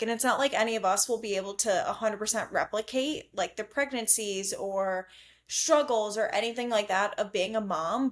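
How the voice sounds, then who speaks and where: A young adult woman hosting a casual podcast chat, talking in a gushing, slangy way peppered with "like". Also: mid-range voice. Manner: gushing, slangy, heavy use of "like". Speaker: podcast host.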